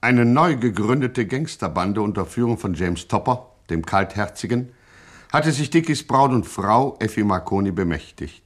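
An actor playing a pompous, deadpan narrator in a satirical gangster radio play. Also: male voice, fairly low pitch, moderate pace. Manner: grandiose, deadpan. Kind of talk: mock-solemn scripted radio drama narration.

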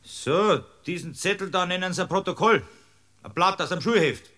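A man's voice: high-pitched, normal speed, bright, forceful tone. An actor in a radio play playing a stern, middle-aged district judge, brusque, formal and exasperated as he questions a witness. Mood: scornful, formal.

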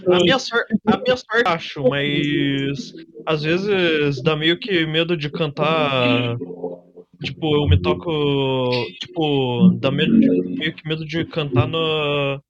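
A man's voice: fairly high pitch, takes it slowly. A man with a Brazilian accent chatting casually over an online voice call. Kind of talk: casual chat. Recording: dull, noisy voice call.

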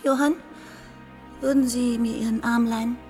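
High, genteel young society lady played by a woman. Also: fairly even intonation, moderate pace, a little mellow, soft background music, natural voice. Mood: polite, inviting. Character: polite young society lady at a garden party. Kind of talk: period radio drama acting.

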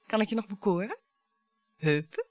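An actress in a radio play playing a bitter, provocative wife who dwells on words theatrically. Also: female voice, mid-range voice, huge pitch swings, normal speed, darkish tone, no room sound. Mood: taunting, seductive. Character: bitter, taunting wife dredging up old family grievances.

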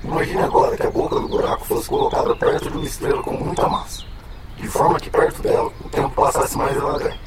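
A man playing a patient scientist with a synthetic voice in an educational radio drama, explaining in a didactic way. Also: fast talker. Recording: very noisy, distant recording.

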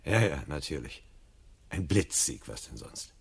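A man's voice: rumbling low, sing-song, measured pace, gravelly, slightly rough, exaggerated voice. An actor playing a troubled, searching fireman in a 1970 radio play, earnest and brooding in manner. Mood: dejected. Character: troubled, searching fireman, lonely and eager for conviction.

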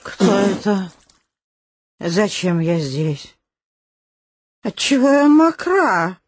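An actress playing an excitable, domineering old lady in a comic radio play, with a theatrical, bossy, exclaiming delivery. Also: medium pitch, drawn-out words, slightly rough, no echo, full character voice. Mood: bewildered, gushing. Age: older.

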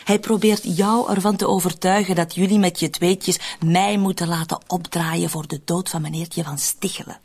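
A cunning, manipulative woman in a radio drama. Her voice is lowish and husky with a creaky edge, and she presses her case with cold, controlled persuasion. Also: fairly even intonation, moderate pace, hardly any pauses, a little mellow. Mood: insistent, defensive. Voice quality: husky, creaky, often breathy voice. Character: cunning, cold, manipulative woman plotting to shift murder blame. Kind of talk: Dutch-language radio drama acting.

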